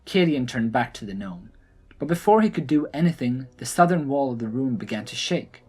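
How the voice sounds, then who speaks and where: Husky, animated male fantasy narrator. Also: mid-range voice, brisk. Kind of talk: scripted dramatic fantasy storytelling. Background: background music.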